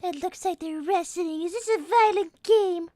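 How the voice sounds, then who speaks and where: A woman's voice: very high-pitched, normal speed, warm tone, dry recording, clean studio sound. A woman voicing a prim, polite little cartoon rabbit girl who is protective and fussily scolding. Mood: prim, disapproving. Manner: prim, fussy, genteel exclamations. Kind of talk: in-character livestream comedy.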